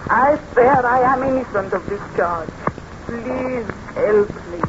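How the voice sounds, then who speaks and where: A woman's voice: fairly high pitch, slow. A woman playing a desperate accused servant in a 1930s radio serial, pleading and emphatic in a melodramatic style. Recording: dull, noisy vintage radio recording.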